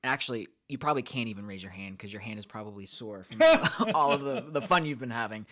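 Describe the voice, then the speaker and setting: A man in his thirties co-hosting a casual podcast, chatty and quick to laugh as he sums up an article. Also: medium pitch, lively intonation, noisy background. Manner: chatty, laughs often, reads out survey findings. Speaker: podcast co-host.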